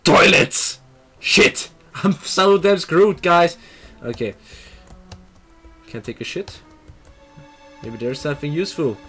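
Excitable young Austrian gamer on headset. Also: male voice, fairly high pitch, slow pace, long pauses, slightly rough, faint music behind. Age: young adult. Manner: excitable, swearing, laughing, reads game text aloud. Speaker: let's play host. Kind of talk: gaming commentary. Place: small room at a computer. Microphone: headset mic.

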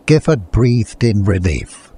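A man's voice: lowish voice, sing-song, slow pace, mellow, husky. A man reading a scripted adventure story as an audiobook narrator.

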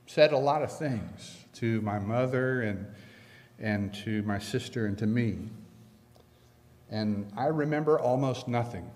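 An older man preaching a sermon from a church pulpit, earnest and measured, with slow emphatic pauses. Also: mid-range voice, a little mellow. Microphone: pulpit stand mic. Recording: long reverb of a church hall.

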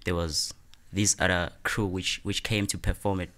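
Young man, South African accent, lowish voice, casual interview chat, close studio mic. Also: flat delivery, normal speed, fairly bright tone. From South Africa, Mpumalanga township. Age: young adult. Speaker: guest musician, rapper and producer. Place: small studio room indoors. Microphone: studio microphone on boom arm, close.

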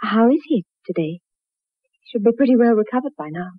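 Fretful, tender wife: husky, expressive, vintage radio drama, dry muffled sound. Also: female voice, fairly high pitch, brisk, no echo. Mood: weary, concerned. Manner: earnest, anxious. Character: fretful, gentle wife in the tropics. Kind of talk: 1960s radio drama acting. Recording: muffled, lo-fi old radio recording.

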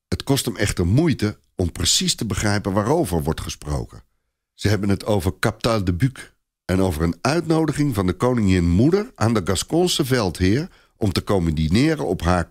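A man with a low voice reads a story aloud as narrator. His delivery is expressive and deliberate, with lively rises and falls, recorded dry and close. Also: no room sound. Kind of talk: dramatised storytelling, scripted narration.

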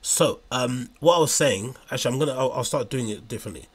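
Husky-voiced man rambling on a stream. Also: mid-range voice, fast talker. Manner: rambling, hesitant, many fillers. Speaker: streamer reacting to clips. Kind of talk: casual livestream commentary.

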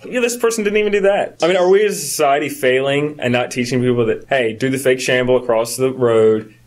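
Male co-host, early 30s, brisk delivery, conversational, animated storyteller. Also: mid-range voice. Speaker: podcast co-host. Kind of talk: casual chat.

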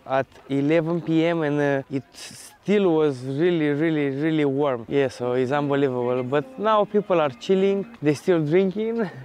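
Clear, higher-voiced man vlogging. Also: a little mellow. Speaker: travel vlogger. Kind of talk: casual vlog talk to camera. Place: outdoors, open beach and lakeside woodland.

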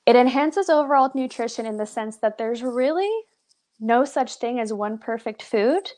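A young woman with a slightly high voice leads a live online seminar from a room at home. She speaks into her webcam mic in an upbeat, encouraging way and keeps linking her points with "so". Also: moderate pace, darkish tone. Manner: upbeat, encouraging, with frequent "so" and "awesome". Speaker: brand representative presenting a pet nutrition webinar. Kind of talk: live webinar presentation. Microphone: webcam on a video call.

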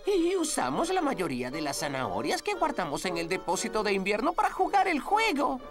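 A woman's voice: mid-range voice, wildly swooping pitch, fairly quick, music in the background. A woman voicing an anxious, fretful girl in a dubbed children's cartoon. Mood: worried.

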